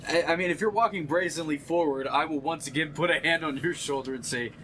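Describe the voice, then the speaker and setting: A man's voice: on the high side, fast talker, sharp tone, slight background noise. A man playing a tabletop role-playing game, chatting casually with a jokey, tentative way of putting ideas. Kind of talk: casual in-character game chat.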